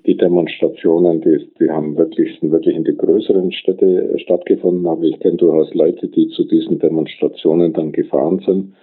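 Deep-voiced man on a phone-like line. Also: fairly even intonation. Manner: halting, repeats phrases searching for words. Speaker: interviewee. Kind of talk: spontaneous reflective interview talk.

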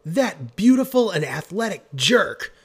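Man voicing a brash, loud, higher-pitched woman. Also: animated, a little fast, slightly rough. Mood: indignant. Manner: blunt, exclamatory, indignant. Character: brash, hungry, blunt woman, loud complainer. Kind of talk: audiobook character voice.

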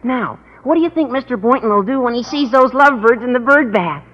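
A man's voice: very high voice, expressive, fairly quick, a bit bright, heavily acted. A man playing an eager, gushing, earnest American teenage schoolboy in old radio comedy. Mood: excited, scheming. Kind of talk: 1940s-50s radio comedy acting. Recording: muffled, hissy, reverberant old radio recording.

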